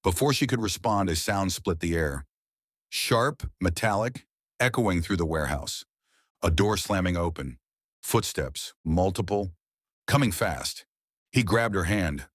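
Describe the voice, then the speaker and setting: A man reading a psychological thriller audiobook with tense, dramatic pauses. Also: deep voice, expressive, dry recording, clean studio sound. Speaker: audiobook narrator. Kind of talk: scripted thriller narration.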